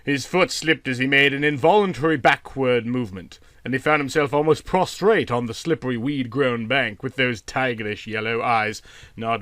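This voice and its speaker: A man narrating a literary story from a script in a dramatic, expressive storytelling manner. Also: medium pitch, no room sound. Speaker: audiobook narrator.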